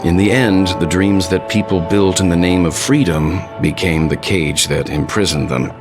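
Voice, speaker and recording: A composed, inquisitive male traveler from a video game, voiced by a man, with a very deep voice. He asks his questions politely and calmly. Game music plays clearly underneath. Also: normal speed, close to an everyday voice. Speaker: ranger companion asking questions. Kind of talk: game voice acting.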